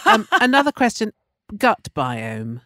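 A woman's voice: mid-range voice, huge pitch swings, a little slow, fairly bright tone, dry recording. A woman hosting a podcast, chatty and enthusiastic as she interviews a guest. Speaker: podcast host. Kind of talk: casual chat and interviewing.

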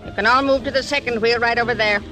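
A woman's voice: on the high side, fairly even intonation, fast, music in the background, lightly acted, rough recording. An actress playing an imperious woman who runs a casino, giving commands in 1940s radio acting. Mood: commanding.

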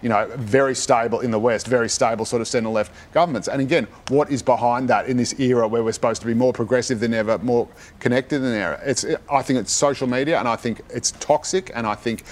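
A man's voice: mid-range voice, steady tone, fast, few pauses. An Australian man giving his opinions in a panel discussion, speaking colloquially and emphatically. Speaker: radio panellist.

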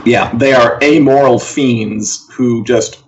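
The recording is a bit dull and lo-fi, like a live stream. A man speaks at an average pitch. He delivers entertainment news and opinions as a co-host, in a casual, wry, conversational way. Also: fairly even intonation, slow.

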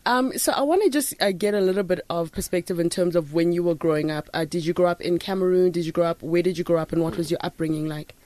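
A woman hosting a podcast interview in a studio, chatty and quick to laugh, with plenty of encouraging mm-hmms. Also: fairly low pitch, a little mellow, dry recording. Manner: chatty, laughs easily, lots of backchannel mm-hmms. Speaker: podcast host. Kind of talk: studio interview and scripted intro. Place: radio studio.

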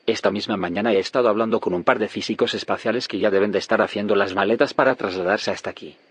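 A low, husky voice, dry and close, for an idealistic physicist in a podcast drama. He has an accent from Spain and reasons in a mild, earnest way. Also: fast, fairly bright tone, no room sound. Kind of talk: audio drama acting, scripted dialogue.

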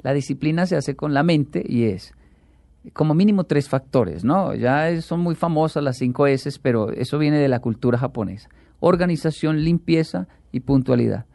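Gravelly man telling stories. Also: fairly high pitch, normal speed, dry recording. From Colombia. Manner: animated storyteller, acts out quotes. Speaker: guest. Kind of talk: casual chat.

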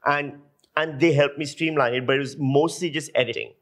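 A man's voice: a little high, steady tone, brisk, fairly bright tone. A man from Pakistan speaking as an interview guest, explaining his work through anecdotes in an emphatic way, repeating phrases for effect. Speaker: guest lecturer and audio journalist.

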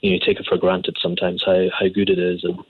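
A man's voice: low-pitched, completely flat delivery, quick pace, husky, no echo, narrow, tinny audio. A Scottish man, an executive chef, answering questions conversationally in an interview. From Scotland.

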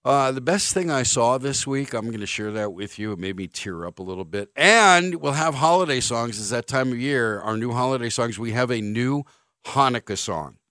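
A man's voice: mid-range voice, expressive, normal speed, sharp tone, dry recording, clean studio sound. A man in his fifties hosting sports talk radio, making wry, sarcastic jokes and drifting into rambling anecdotes. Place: radio studio. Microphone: studio microphone.

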